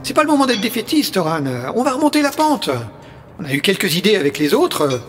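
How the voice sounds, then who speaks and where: A man's voice: high-pitched, very animated, a little fast. A man acting an exasperated team leader trying to rally colleagues in an amateur audio comedy, speaking emphatically. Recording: echoey hall, music behind.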